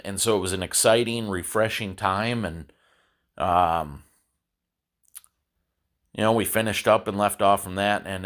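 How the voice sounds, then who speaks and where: Male podcast host, low-pitched, nasal and congested from a cold; unscripted, halting monologue. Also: fairly bright tone. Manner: halting, repeats words while thinking. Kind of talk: solo religious podcast monologue. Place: his apartment.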